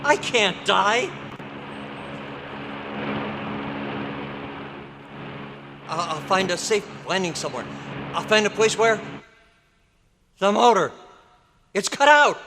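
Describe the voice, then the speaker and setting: Radio play pilot, played by a man: high-pitched, swooping, melodramatic panic, refusing to accept doom, music behind. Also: expressive, a little slow, vocal fry, pauses often, sharp tone, heavily acted. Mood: panicked. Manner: frantic, pleading, melodramatic. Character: panicking, desperate male pilot in denial of doom. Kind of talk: old-time radio horror acting. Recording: live convention stage recording.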